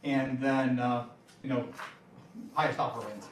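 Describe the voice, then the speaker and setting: A man answering questions at a school board meeting, casual and halting. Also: medium pitch, fairly even intonation. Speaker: school superintendent. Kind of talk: explaining at a meeting. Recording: distant echoey room mic, faint noise.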